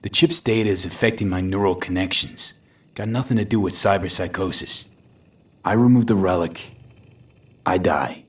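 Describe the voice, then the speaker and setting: An actor playing a weary, guarded young mercenary in a video game, speaking in terse, understated sentences. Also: male voice, deep voice, moderate pace, lightly acted. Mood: grim, weary. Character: weary, guarded young male mercenary, stubbornly downplaying trouble. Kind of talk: naturalistic video game acting.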